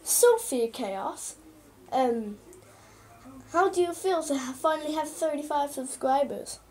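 A young boy playing a female TV newsreader, croaky and hesitant, full of ums and restarts, recorded on a close webcam in a small room. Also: very high voice, unhurried, darkish tone. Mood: chatty. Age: child. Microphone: webcam at close range.

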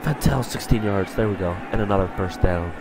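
A man with a stuffy voice from a cold, commentating his own video game play and getting excited on big plays. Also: on the low side, a little fast, heavy background noise. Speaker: gaming channel host.

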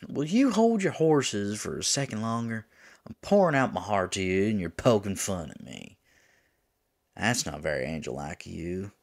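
Man voicing a deep, gravelly lovesick demon. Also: measured pace, long pauses, a bit bright, exaggerated voice. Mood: earnest, teasing. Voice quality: gravelly, husky. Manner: tender, pleading, folksy turns of phrase. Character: lovesick male demon pleading with his angel lover. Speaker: voice actor in an audio roleplay. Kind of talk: intimate ASMR roleplay acting.

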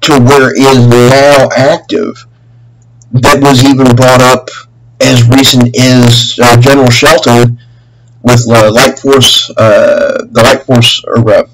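A middle-aged man making a rambling, aggrieved and earnest complaint as a caller, halting and repeating himself in long winding sentences. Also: mid-range voice, flat delivery. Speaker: caller to a government office. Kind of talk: spontaneous phone complaint, rambling monologue. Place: small room at home, indoors. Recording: humming noisy home webcam recording.

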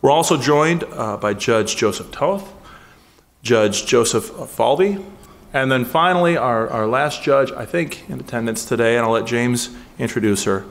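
A man's voice: mid-range voice, normal speed, creaky. A man in his thirties hosting an event at a lectern, chatty and joking, stumbling over names as he welcomes guests. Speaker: event host. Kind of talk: casual opening remarks. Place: conference hall, at a lectern. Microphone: lectern microphone.

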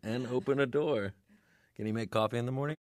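A husband in a radio drama acted by a man, teasing yet quick to temper. His voice has an ordinary middle pitch. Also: a little mellow, no room sound, clean studio sound, lightly acted. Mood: amused.

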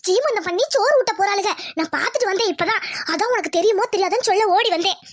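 A woman voicing a nervous, gossipy village woman in a cartoon family drama, chatty and excitable. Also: very high-pitched, quick pace. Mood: gossipy, urgent.

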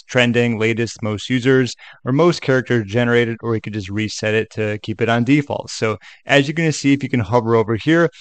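A man's voice: mid-range voice, dry recording. Chatty man, dry close sound. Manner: chatty, joking asides. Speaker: tutorial video host. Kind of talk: casual screen-share walkthrough.

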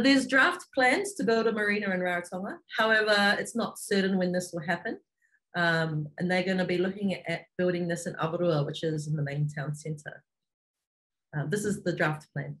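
A woman's voice: medium pitch, poor audio. A middle-aged woman from the Cook Islands giving an informative slide presentation over a video call from an office. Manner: steady, informative, lists place names. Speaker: marketing manager presenting on webinar. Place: office room indoors. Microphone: video call webcam.

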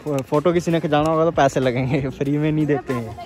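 A man's voice: on the high side, quick pace, music in the background. A man chatting informally to viewers as a travel vlogger, calling them bro. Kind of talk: casual vlog chat to camera.

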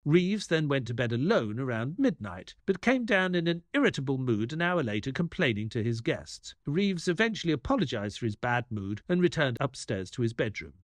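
A man playing a detective's loyal assistant, narrating an audio drama in a measured storytelling way. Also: mid-range voice, big pitch swings, no echo, natural voice. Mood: grave, narrating.

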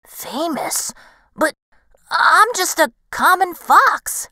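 Young male storybook fox acted by a woman: earnest, excitable, cocky, lively pitch, dry studio sound. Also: drawn-out words, no room sound, full character voice. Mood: puzzled. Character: young male fox, eager, naive, boastfully confident.

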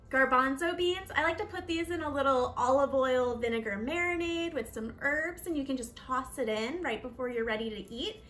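A woman's voice: high-pitched, bright, forceful tone. A young woman giving a chatty, upbeat cooking demonstration in her home kitchen, talking while she prepares food. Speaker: behavior analyst. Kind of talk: cooking demo with tips.

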